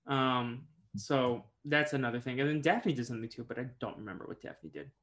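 A man's voice: medium pitch, brisk, a bit bright. Rambling podcast co-host man. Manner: rambling, many fillers and laughs. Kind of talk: casual chat.

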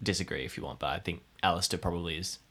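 A man's voice: deep voice, very fast, sharp tone, husky. A man playing in an improvised tabletop game, bantering casually and describing what his character does. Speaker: player in an audio drama game.

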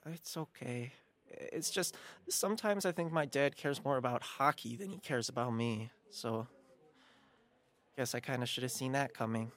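A woman's voice: rumbling low, moderate pace. A woman playing a timid but eager twelve-year-old boy in an audio drama, speaking hesitantly with frequent stammers. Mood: dejected. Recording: roomy, echoing sound.

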